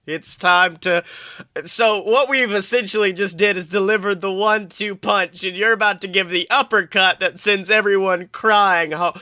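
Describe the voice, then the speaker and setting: A woman banters casually as a comedy podcast co-host, profane and joking. Her voice is bright and mid-pitched, recorded close and dry. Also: steady tone, moderate pace, no room sound.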